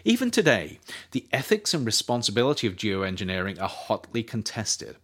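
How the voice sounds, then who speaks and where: A man narrates his own nonfiction book in an audiobook reading. His voice sits low, but his pitch rises and falls with lively, animated emphasis. He keeps a measured, steady delivery throughout. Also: husky.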